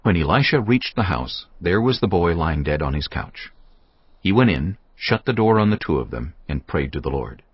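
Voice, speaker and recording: A man narrating scripture in a measured, solemn way. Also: deep voice, very animated, husky, dry recording. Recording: dull audio with music bed.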